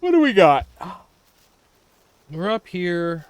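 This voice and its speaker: A man's voice: sits high, very animated, slow, clean tone, dry recording. A man hosting a livestream, giving casual gameplay commentary and muttering asides to himself. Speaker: livestream host. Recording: loud radio play and music behind.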